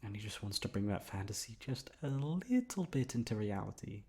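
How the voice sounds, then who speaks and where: A man playing an obsessive, lovesick young man in an intimate ASMR roleplay, coaxing softly with drawn-out words and emphatic repetition. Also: on the low side, big pitch swings. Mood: wistful, coy. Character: obsessive lovesick young man confessing to his best friend.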